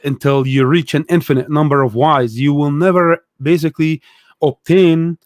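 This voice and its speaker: A man's voice: fairly high pitch, fairly even intonation, normal speed, darkish tone, no room sound, studio quality. Hesitant, thoughtful man on a call. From Egypt. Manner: thoughtful, hesitant, many fillers. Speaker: guest. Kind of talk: casual conversation, storytelling.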